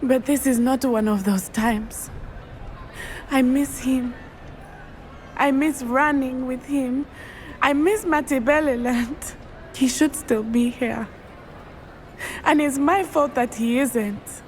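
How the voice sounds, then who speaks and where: A teenage witch from a fantasy game, with a southern African accent. Her voice is slightly high, formal and earnest, carrying solemn determination. Faint ambient noise sits behind it. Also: slow pace, long pauses. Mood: sorrowful. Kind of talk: video game dialogue acting.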